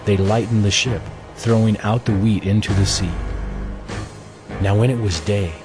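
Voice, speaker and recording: Deep guy narrating a bible audio drama, solemn and measured, music playing behind him. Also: fairly even intonation. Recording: music bed under the voice.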